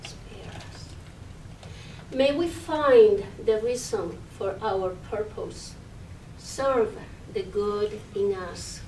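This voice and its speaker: A woman reads a prayerful poem very slowly and solemnly, letting phrases swell and fade. She is heard at a distance in a roomy space with faint noise behind. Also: medium pitch, a little mellow. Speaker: poet reading own poem. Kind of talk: prayerful poetry recitation. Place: public library reading room.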